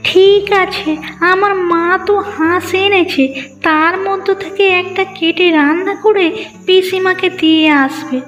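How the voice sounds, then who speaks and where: A woman playing a brisk, practical village housewife in a cartoon, expressive. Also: very high-pitched. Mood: helpful. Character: brisk, scolding but helpful village housewife. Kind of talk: cartoon moral-story dialogue. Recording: dull reverberant track, music behind.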